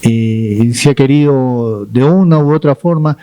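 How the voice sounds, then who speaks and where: A man from Peru on a debate panel, explaining his position with halting restarts. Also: medium pitch, warm tone, clean tone, soft background music.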